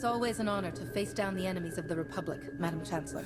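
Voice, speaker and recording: A woman's voice: fairly low pitch, rapid-fire, loud music behind the voice, natural voice. A woman playing a steadfast, noble warrior-monk hero in a video game, composed and earnest. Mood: calm resolve. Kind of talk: scripted video game acting.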